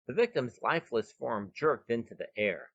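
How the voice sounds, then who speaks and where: A man's voice: medium pitch, big pitch swings, normal speed, fairly bright tone, dry recording, dull audio. A man hosting and narrating a scripted short story podcast with dramatic, emphatic delivery, recorded outdoors on a veranda. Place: veranda of a bed and breakfast, outdoors.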